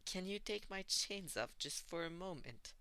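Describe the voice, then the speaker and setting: A narrator voicing a moody middle-school boy who speaks politely, quietly and neutrally. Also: mid-range voice, lively intonation, moderate pace, clean tone, voice pushed up. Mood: polite, guarded.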